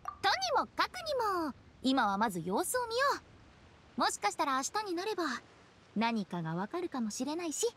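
A woman's voice: sits high, huge pitch swings, brisk, fairly bright tone, a little hiss or hum, exaggerated voice. A woman playing a playful, shrewd young funeral parlor director in a Japanese anime game, speaking casually and expressively. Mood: explaining, suspicious.

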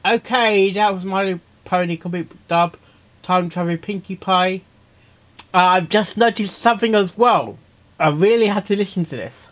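A man with a slightly high voice gives casual reaction commentary as a host. He rambles and repeats himself as he talks. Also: moderate pace, fairly bright tone. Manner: rambling, repetitive. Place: small cluttered room indoors.

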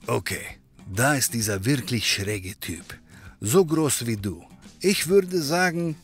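An actor playing a friendly European barkeeper in a comedy audio drama, easygoing and confiding in manner. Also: male voice, mid-range voice, animated, takes it slowly, fairly bright tone, soft background music. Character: friendly European barkeeper, helpful male pub owner.

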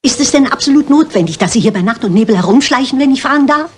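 An actress playing a sharp-witted, stubborn older lady detective in a German crime radio play, speaking briskly and precisely. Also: high-pitched, animated, slight background noise. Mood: indignant, insistent.

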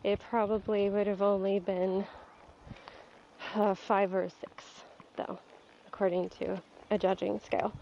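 Stuffy-nosed woman talking slow while riding, kinda gravelly, outdoors with faint background noise. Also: medium pitch. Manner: calm, coaching, talks while riding. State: stuffy, getting over a cold. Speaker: dressage rider and trainer. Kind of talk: explaining her training while riding. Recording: dull lo-fi outdoor sound. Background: faint outdoor ambience and horse.

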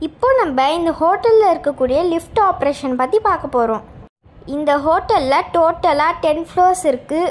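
Very high-pitched woman narrator. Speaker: vlogger narrator. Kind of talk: scripted voice-over hotel tour narration.

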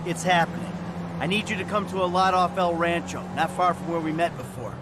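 An actor playing a weary, sarcastic middle-aged ex-robber in a video game cutscene, talking brusquely and swearing. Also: male voice, on the high side, steady tone, fairly quick, close to an everyday voice. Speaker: game character. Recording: game audio with clear engine noise. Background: traffic and vehicle noise.

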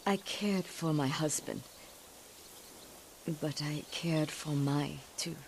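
An actress playing a stern, grieving older noblewoman of a samurai clan in a video game, speaking gravely and with command. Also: low voice, slow pace, noisy background. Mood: grave, resolute. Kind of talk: dramatic video game acting.

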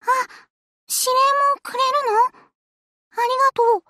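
Very high, cutesy anime girl. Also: steady tone, unhurried, fairly bright tone, dry recording, studio quality. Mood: excited. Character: cute girlish anime warship girl, sweet and eager. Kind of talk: Japanese anime game voice acting.

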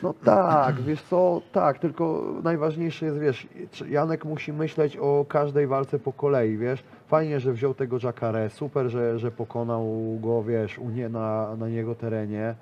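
A man's voice: medium pitch, little pitch movement, unhurried, few pauses, highs cut off, slight background noise. A man in his thirties, a grappler and interview guest, talking casually in a small studio, rambling with lots of fillers and restarts. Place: small studio with a decorated wall.

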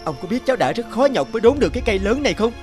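A man's voice: extremely high pitch, sing-song, rapid-fire, darkish tone, soft background music. A man voicing an earnest, dogged young woodcutter in a cartoon, exclaiming and talking to himself. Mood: indignant, determined.

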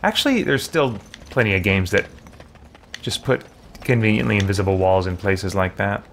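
Creaky-voiced man musing through gameplay. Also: fairly low pitch, lively intonation, moderate pace, a bit bright, slight background noise. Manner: hesitant, musing, talking to himself. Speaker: game streamer. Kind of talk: casual gameplay commentary, thinking aloud. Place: small indoor room at home with shelves.

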